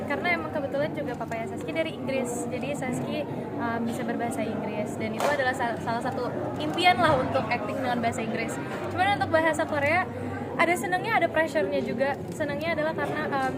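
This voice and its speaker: A woman actress answering press questions in a chatty way, referring to herself by name, with many fillers. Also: sits high. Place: doorstop interview in a busy public space. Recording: noisy crowded public spot.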